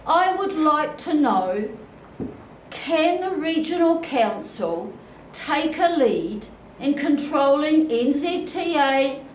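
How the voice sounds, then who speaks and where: Woman, 70s, New Zealand accent, blunt, indignant, slow, echoey chapel hall, faint room hum. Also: high-pitched, expressive. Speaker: audience member asking a question. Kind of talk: question from the floor at a public meeting. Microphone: handheld microphone.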